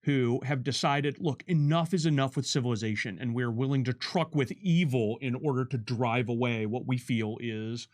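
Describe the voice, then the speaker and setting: A man with a middling pitch explains design decisions in a relaxed conversational style. He talks off the cuff like a developer and drops in short imagined quotes to make his point. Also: normal speed, few pauses. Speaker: game developer on a commentary stream. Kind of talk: casual unscripted conversation about game design.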